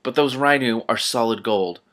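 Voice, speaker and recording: A man's voice: mid-range voice, normal speed, a bit bright, natural voice. A man reading aloud as a nervous, cowardly male wizard, hesitant and protesting. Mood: indignant.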